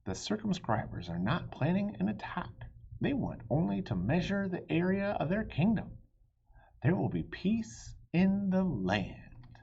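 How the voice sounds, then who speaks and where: A man's voice: sits high, big pitch swings, a little slow, creaky, a little mellow, slightly rough, lo-fi sound, voice pushed up, heavily acted. A man voicing a commanding, gracious king who proclaims formally to his knights in a storybook. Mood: proud, announcing.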